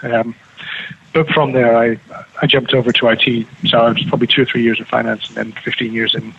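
A man with a New Zealand accent, a guest on a remote radio interview, talking quickly with many restarts and fillers. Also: medium pitch, little pitch movement, creaky, a bit bright, highs cut off, a little hiss or hum. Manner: fast, with frequent restarts and fillers. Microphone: remote call with slight lag.

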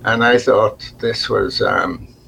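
Slow, gravelly older man. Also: fairly low pitch, steady tone, fairly bright tone, slight background noise, low-quality recording. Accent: faint Liverpool, mostly softened. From England. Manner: slow and halting, with restarts and fillers. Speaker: guest. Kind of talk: reflective interview talk.

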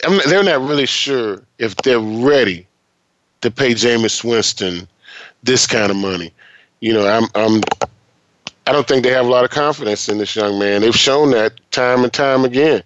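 A man's voice: mid-range voice, moderate pace, fairly bright tone, dry recording, lo-fi sound. A man in his fifties hosting a sports talk show, talking emphatically and repeating himself in casual conversation. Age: 50s. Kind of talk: casual radio interview.

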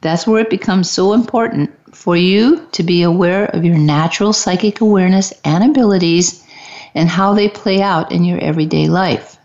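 Calm woman hosting, lowish voice. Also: moderate pace. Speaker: host. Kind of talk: spiritual talk show hosting. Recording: dull lo-fi sound.

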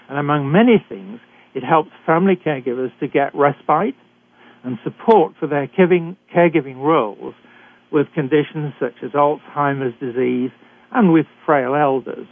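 An older British man hosting a talk radio show, introducing guests in a measured, deliberate way with occasional hesitations. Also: a little high. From Britain. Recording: dry, tinny phone-like line.

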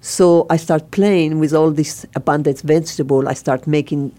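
Low, dark-voiced Israeli woman. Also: fairly even intonation, moderate pace. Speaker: celebrity chef guest. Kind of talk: radio interview.

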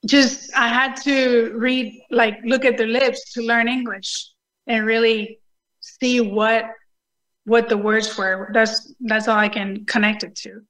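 A woman's voice: a little high, level tone, slow, a bit bright, low-quality recording. A woman with a Puerto Rican accent, telling her personal story as a guest in a remote interview. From Puerto Rico. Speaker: show guest, Air Force veteran. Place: video call.

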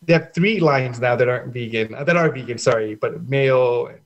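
Earnest man with halting restarts. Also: mid-range voice, highs cut off. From Sri Lanka. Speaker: festival founder guest. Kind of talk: casual interview answers.

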